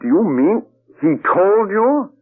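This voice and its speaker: Ernster Physiker im alten Hörspiel. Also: male voice, high voice, sing-song, slow pace, exaggerated voice. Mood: earnest. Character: earnest male physicist, grown man. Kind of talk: 1940s radio acting. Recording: tinny old radio broadcast.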